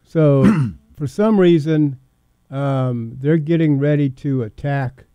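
A man's voice: fairly high pitch, slow, dark tone, clean tone, dry recording, muffled recording. A man hosting a radio show, chatting casually with teasing questions and stammered restarts. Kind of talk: casual talk radio banter.

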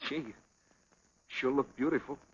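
An actor playing an earnest, quick-tempered young American boxer in love, with plain working-class speech in an old film drama. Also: male voice, medium pitch, slightly rough, highs cut off, natural voice. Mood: subdued, tender.

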